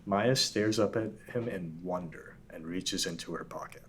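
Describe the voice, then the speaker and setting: A man reads the stage directions of a script plainly at a table read. His voice is very deep. Faint room noise sits behind him. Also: normal speed. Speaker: narrator.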